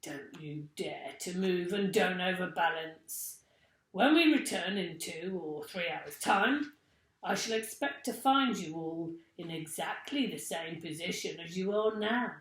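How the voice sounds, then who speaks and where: A woman's voice: on the low side, lively intonation, measured pace, fairly bright tone, echoey room, rough recording. A woman voicing a fierce, spiteful, bad-tempered man from a children's storybook, barking and menacing. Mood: barking orders.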